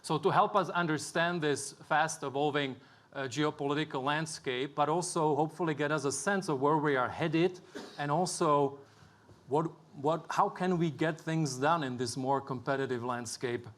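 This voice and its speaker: A man moderating a formal panel, introducing guests and putting questions to them in a measured way. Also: a little high. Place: conference stage with an audience.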